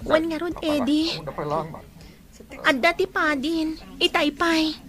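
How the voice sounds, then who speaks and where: An affectionate young daughter in radio drama. The voice is very high and the delivery slow. She sounds easygoing and playful. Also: steady tone, a bit bright. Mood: chatty.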